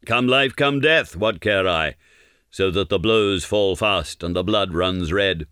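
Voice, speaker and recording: A fierce old storybook warrior, acted out by a male narrator, declaims with grand pride and bloodthirsty boasting. The voice is bright and forceful, in a very dry recording. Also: deep voice, measured pace, clear, resonant tone, no echo. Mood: grand, proud. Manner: declamatory archaic speech, boastful.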